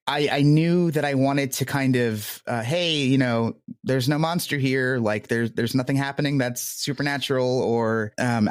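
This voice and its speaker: A man's voice: medium pitch, dry recording. Man in a dry, close interview. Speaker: podcast creator being interviewed. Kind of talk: reflective interview talk.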